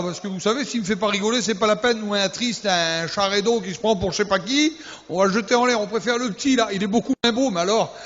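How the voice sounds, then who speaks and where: High-voiced preacher in an echoey hall. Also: male voice, fairly bright tone. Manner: humorous, teasing, full of rhetorical questions; repeats key words for emphasis. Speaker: youth pastor. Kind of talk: sermon to young people. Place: church hall. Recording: echoey hall, dull lo-fi sound.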